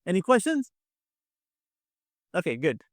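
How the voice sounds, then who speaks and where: A man with a fairly high voice hosts a casual online quiz. He is encouraging and tends to repeat himself. The sound is close and dry, on a video call mic. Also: wildly swooping pitch, unhurried, dry recording. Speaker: quiz show host. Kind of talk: casual game show hosting.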